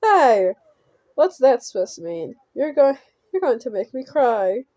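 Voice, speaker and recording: A woman's voice: high-pitched, big pitch swings, measured pace, warm tone. A woman voicing a teasing, mocking creepy man in a horror visual novel, reading his dialogue aloud. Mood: mock offended.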